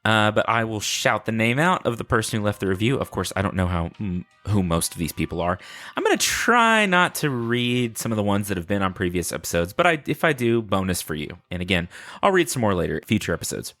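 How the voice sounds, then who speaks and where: A man's voice: lowish voice, big pitch swings, a little fast, bright, forceful tone. A man hosting a podcast solo in a casual, unscripted way, chatting playfully and rambling with self-deprecating humor. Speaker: podcast host.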